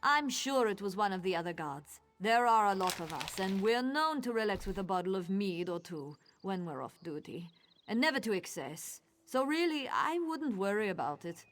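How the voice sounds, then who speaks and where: An actress playing an easygoing but dismissive town guard in a fantasy video game, brisk and dryly sarcastic. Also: female voice, mid-range voice, very animated, fairly bright tone, a little hiss or hum. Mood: dismissive, wry.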